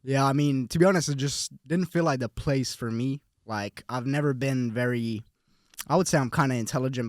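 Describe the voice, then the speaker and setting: A man's voice: mid-range voice, dry recording, clean studio sound. A young man from Finland talking as a podcast guest in a laid-back, casual way, often repeating words as he goes. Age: young adult. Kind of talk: casual conversation.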